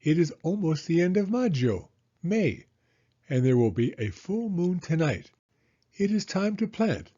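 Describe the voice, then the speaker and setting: Man voicing a lilting old village grandmother, high-pitched. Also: very animated, unhurried, dark tone, slightly rough, muffled recording, exaggerated voice. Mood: gently commanding. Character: kindly old Italian woman, orderly village healer and gardener.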